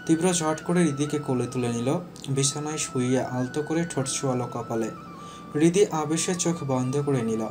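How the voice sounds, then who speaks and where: A man's voice: medium pitch, brisk. Male narrator of romantic stories over music. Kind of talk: scripted romantic story narration.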